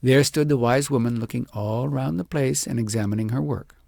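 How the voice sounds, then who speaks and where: A man narrating an audiobook in an expressive storybook style, with dramatic, deliberate phrasing. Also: medium pitch, creaky, no echo.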